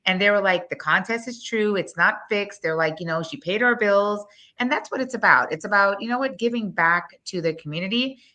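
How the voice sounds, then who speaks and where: A woman's voice: medium pitch, sharp tone. A woman in her forties from Guyana with a Guyanese accent, a business owner being interviewed, talking bluntly and emphatically. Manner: blunt, fast-flowing, emphatic. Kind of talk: interview, promotional pitch.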